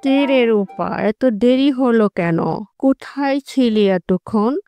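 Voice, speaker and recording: A woman's voice: a little high, slow, dark tone, soft background music. A woman voicing a chiding, nagging village mother in a cartoon. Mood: scolding. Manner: chiding, questioning. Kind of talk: Bengali cartoon character acting.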